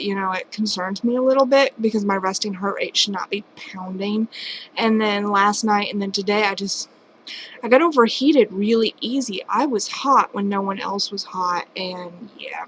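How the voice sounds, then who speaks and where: Late-twenties woman, vlogger, higher-pitched, chatty and self-deprecating, home camera mic. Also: slight background noise, rough recording. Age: late 20s. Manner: rambling, candid, self-deprecating. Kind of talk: casual personal vlog. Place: home at night.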